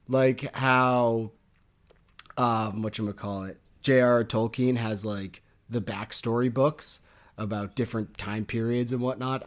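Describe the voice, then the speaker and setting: Young male podcast co-host, casual, jokey, quick to laugh. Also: mid-range voice. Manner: jokey and animated, laughs often. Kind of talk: casual book chat.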